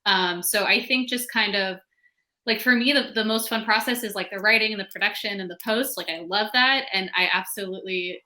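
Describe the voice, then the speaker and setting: A woman talks as a creator on a casual discussion panel. Her voice is bright and crisp-edged at an average pitch. She phrases things very informally, dropping in "like" often. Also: brisk. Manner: informal, peppered with "like". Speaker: panelist, fiction podcast creator.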